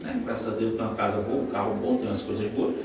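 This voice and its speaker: A man's voice: lowish voice, flat delivery, quick pace, noisy background. A man in his forties, a trial lawyer and mentor, explaining in an expansive, self-assured way. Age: 40s. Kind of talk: casual interview chat. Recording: echoey room, mic at a distance.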